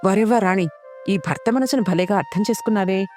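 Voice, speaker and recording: An easygoing crow husband from a bird cartoon, voiced by a woman. He talks casually and teasingly over clear background music. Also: mid-range voice, brisk, a little mellow, natural voice. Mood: amused. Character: easygoing male crow husband, gently bossy.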